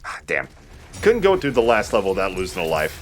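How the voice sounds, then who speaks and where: A man's voice: sits low, wildly swooping pitch, brisk, soft background music. A man giving casual commentary as he plays a shooter game, as host of a let's play.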